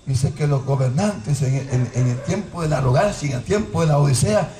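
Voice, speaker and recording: A man preaching a fiery sermon, dropping to quiet asides and then shouting out Bible verses. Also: fairly high pitch, animated, a little fast, over music. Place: church sanctuary with congregation. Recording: echoey church with crowd noise.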